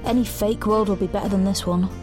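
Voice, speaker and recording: A woman's voice: mid-range voice, steady tone, fast talker, natural voice. Young woman acting a blunt protective teen sister, wry audio drama delivery, music underneath. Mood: sullen, resigned. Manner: sardonic, blunt. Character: defiant, protective teenage sister in a dystopia. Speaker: lead actor and narrator. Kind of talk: audio drama narration and dialogue. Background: background music.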